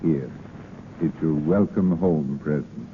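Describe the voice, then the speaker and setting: A man's voice: rumbling low, takes it slowly. An actor playing an eager, devoted man of means in an old radio drama, fond and reassuring. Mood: excited, reassuring. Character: eager, devoted wealthy man adoring his sweetheart. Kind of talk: 1930s-40s radio drama acting. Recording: tinny noisy vintage radio recording.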